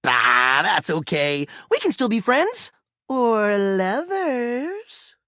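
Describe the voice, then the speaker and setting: Sassy goofball game character, high voice swooping all over, super theatrical. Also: male voice, very animated, drawn-out words, sharp tone, no echo, clean studio sound, full character voice. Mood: cheeky, playful. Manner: campy, theatrical, playful baby talk.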